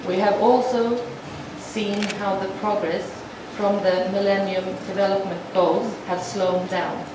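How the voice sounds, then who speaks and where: A woman interprets a formal address into speech, measured and halting, often restarting her phrases. She sounds far from the microphone in a large, heavily echoing hall. Steady noise sits under her voice. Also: medium pitch. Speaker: sign language interpreter voicing a speech. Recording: echoey hall from far off, noisy.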